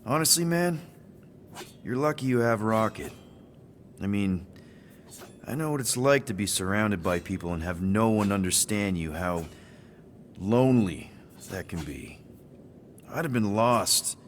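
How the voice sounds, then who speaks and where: An actor playing an easygoing, friendly spaceship crew leader in a video game, speaking in casual, rambling slang. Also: male voice, lowish voice, lively intonation, measured pace, pauses often, a little hiss or hum. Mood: sincere, friendly.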